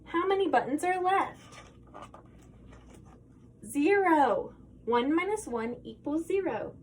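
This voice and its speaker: High-pitched, slow-paced woman reading to children. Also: a little hiss or hum. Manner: expressive, sing-song, with question-and-answer phrasing for young children. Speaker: storybook reader. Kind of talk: animated children's picture book read-aloud.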